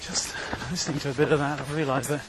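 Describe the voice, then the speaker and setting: A man's voice: on the high side, steady tone, fast. A man hosting a podcast, chatting casually while running outdoors and a little out of breath. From Australia. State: panting from running. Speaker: podcast host. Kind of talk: casual running vlog monologue. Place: outdoors, running on hilly streets. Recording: loud outdoor background noise.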